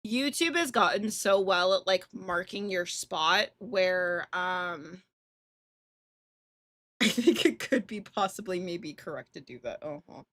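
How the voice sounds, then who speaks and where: A woman hosting a casual game livestream, chatting bluntly and irreverently and reading on-screen text aloud in an animated way. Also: fairly low pitch, takes it slowly, no room sound. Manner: foul-mouthed, irreverent, blunt. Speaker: live streamer hosting a visual novel playthrough.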